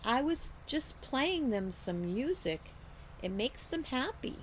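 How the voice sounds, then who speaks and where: A woman reading a storybook, voicing a shy, sad, gentle man who speaks plainly and haltingly. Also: high voice, sing-song, a little hiss or hum, voice pushed up, exaggerated voice, low-quality recording. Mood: shy, subdued.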